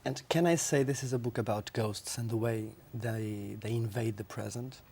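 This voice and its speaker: Halting male TV interviewer, Portuguese-speaking. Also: mid-range voice, steady tone, darkish tone, husky. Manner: hesitant, halting phrasing with fillers. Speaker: television interviewer. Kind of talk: interview questions.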